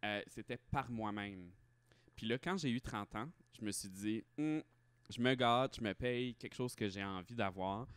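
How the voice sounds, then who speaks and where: A man's voice: lowish voice, normal speed, smooth, dry recording. A man in his thirties with a Quebec French accent, speaking candidly and emphatically as a guest in a casual interview. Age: 30s. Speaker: podcast guest, drag performer and hospital respiratory therapist.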